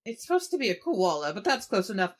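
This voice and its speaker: A woman's voice: mid-range voice, sing-song, quick pace. Woman acting as injured female adventurer in a tabletop game, bored and offhand, swinging intonation. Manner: offhand, rambling.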